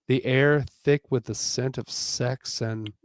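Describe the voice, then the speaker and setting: A man narrating a dramatic romance reading theatrically, with deliberate pauses, on a close microphone. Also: medium pitch, steady tone, no echo. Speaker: narrator and reader.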